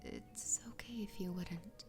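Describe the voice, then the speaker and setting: A woman voicing an obsessively loving fox girl wife in a soft, breathy, tender ASMR roleplay whisper. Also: fairly low pitch. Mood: adoring, devoted. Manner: tender, slow, intimate. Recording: close whisper over background music. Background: music.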